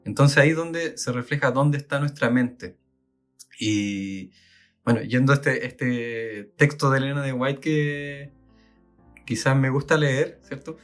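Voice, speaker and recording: A man's voice: mid-range voice, a little slow, soft background music. A Chilean man co-hosting a podcast, talking thoughtfully with many fillers and reading passages aloud. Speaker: podcast co-host. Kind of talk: casual talk and reading aloud.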